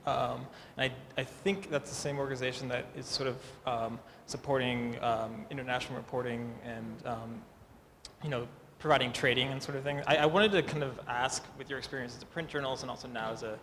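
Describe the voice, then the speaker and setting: A man asking an informal question from the audience as a reporter, with halting, tentative phrasing. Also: mid-range voice, a bit bright. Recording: echoey hall, clear background noise.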